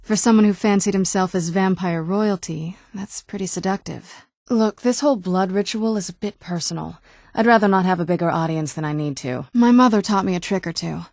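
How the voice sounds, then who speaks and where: An actress playing a guarded, wistful young-sounding vampire woman in a video game, speaking in a measured, conversational way with wry understatement. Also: medium pitch, a little mellow, no echo, clean studio sound, natural voice. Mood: guarded, explanatory. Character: female vampire daughter, centuries old yet youthful, wry, guarded, wistful. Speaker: video game companion character. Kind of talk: video game dialogue acting.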